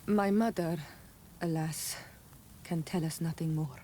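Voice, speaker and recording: A grave, low-voiced tribeswoman. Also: dark tone, a little hiss or hum. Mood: grieving. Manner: grave, deliberate, formal. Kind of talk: fantasy video game cutscene acting.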